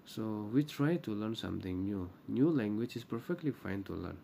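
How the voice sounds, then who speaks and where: A man from Java talks through language-learning tips in a loose, halting way, hopping between two languages. His Javanese accent colors every word. The voice sits low with a gravelly edge and a dark, mellow tone. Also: lively intonation, a little hiss or hum. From Java, Indonesia. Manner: mixes English and Indonesian, hesitant with many fillers. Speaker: vlogger giving tips. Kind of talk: casual commentary.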